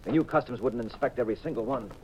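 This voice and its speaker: An actor playing a cool, shrewd private detective, brisk and assured, in a hard-boiled 1950s radio drama style. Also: male voice, mid-range voice, dark tone, close to an everyday voice. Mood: excited, deducing. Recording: muffled, hissy 1950s radio recording.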